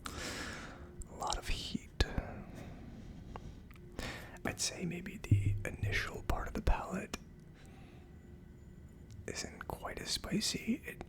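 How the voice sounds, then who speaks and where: An ASMR host speaks entirely in a hushed whisper, with almost no voice behind the words. The delivery is a casual, conversational tasting review. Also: takes it slowly, pauses often. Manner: hushed, unvoiced whispering throughout.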